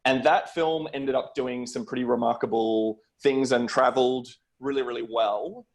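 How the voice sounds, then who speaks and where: A man's voice: mid-range voice, steady tone, moderate pace. A middle-aged man with an Australian accent, speaking as a guest film producer in a conversational storytelling style on a video call.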